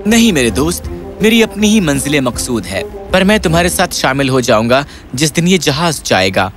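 A man voicing an earnest, excitable, lovelorn young poet in a cartoon fairy tale, with dramatic delivery. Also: a little high. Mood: cheerful resolve. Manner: dramatic, pleading, repeats himself. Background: music.

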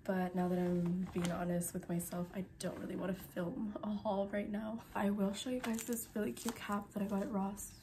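A woman's voice: mid-range voice, little pitch movement, normal speed, few pauses, noisy background. A young woman in her twenties vlogging casually from her bedroom, sounding congested after being sick, chatty and full of "like", sometimes dropping to a whisper. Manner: chatty, lots of "like", drops to whispers. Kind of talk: casual vlog chat. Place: bedroom at night. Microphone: phone camera held close.

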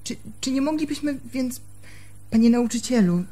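Mellow-voiced, devoted young fiancée. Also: female voice, high voice, animated, moderate pace. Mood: anxious, pleading. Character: devoted, pleading young fiancée, gentle woman. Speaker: actress in a radio play. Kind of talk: radio theatre acting.

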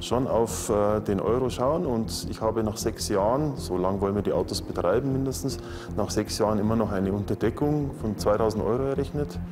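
A man's voice: on the low side, fairly quick, noisy background. A man, explaining matter-of-factly in a documentary interview outdoors. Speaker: charity board member. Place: outdoors by parked cars. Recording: music bed underneath.

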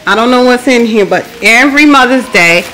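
A woman's voice: on the high side, animated, fairly quick, bright, forceful tone, faint music behind. A woman in her forties chatting casually with viewers on a phone live stream while she cooks in her home kitchen. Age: 40s. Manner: chatty, talks to viewers and comments. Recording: noisy phone live stream with frying sizzle. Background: sizzling oil from frying wings.

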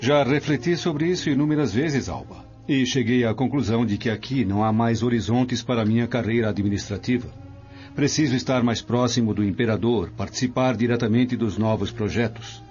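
An actor playing an ambitious, proud Roman official and husband in a radio drama, formal and self-assured. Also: male voice, medium pitch, a little fast, darkish tone, faint music behind, lightly acted. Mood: confident, proud.

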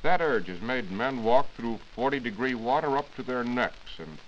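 A man's voice: medium pitch, expressive, moderate pace. Formal man on muffled, hissy old radio. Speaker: science reporter. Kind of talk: scripted 1940s radio science talk.

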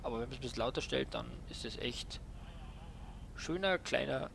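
A man's voice: mid-range voice, moderate pace, gravelly. A young man casually talking through a truck game while driving, chatty with lots of fillers and tag questions. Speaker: gaming YouTuber presenting a mod. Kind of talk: casual gameplay commentary. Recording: noisy game sound behind voice. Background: truck engine and game ambience.

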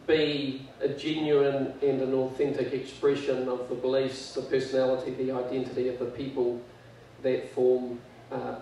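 A man speaking on stage as company chairman, measured and earnest with dry humour. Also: medium pitch, dark tone, a little hiss or hum. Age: 50s. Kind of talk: impromptu speech. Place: large event hall on stage. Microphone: handheld mic. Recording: echoey hall, distant mic, dull sound.

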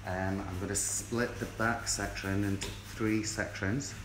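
Low-pitched Scottish man in an echoey room. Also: fairly even intonation, measured pace. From Scotland, born in South Africa. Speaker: hairdressing educator. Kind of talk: informal live tutorial demonstration. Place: indoors in a hair salon. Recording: echoey room with clear background noise.

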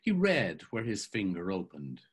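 A man in his sixties giving an expressive dramatic reading and commentary over a video call. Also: low voice, normal speed, husky. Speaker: actor and reader.

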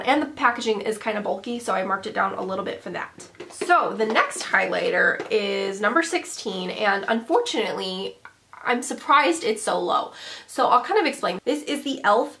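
A woman in her twenties with a congested cold, chatting to camera as she reviews makeup in her bedroom, with quick self-deprecating asides. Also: mid-range voice, vocal fry, a bit bright, a little hiss or hum. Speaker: beauty vlogger. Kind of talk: casual product review to camera. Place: bedroom vanity, indoors.

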